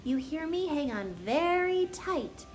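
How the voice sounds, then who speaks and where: A fussing storybook farm mother, acted by a woman reader, pitched up and emphatic. She is bossy and worried, pressing each warning on her children. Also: sing-song, slow, soft background music, full character voice. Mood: anxious warning.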